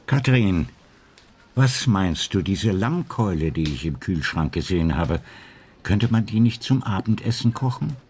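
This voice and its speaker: A wry detective husband in a radio crime drama. His intonation swings widely as he muses in a playful, deliberate way, with clear background noise around the voice. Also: deep voice, expressive, a little mellow. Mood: casual, coaxing.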